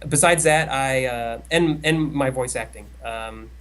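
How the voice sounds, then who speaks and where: A man's voice: medium pitch, unhurried, fairly bright tone. A man co-hosting a casual podcast chat, talking in a hesitant, rambling way with many restarts. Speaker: co-host.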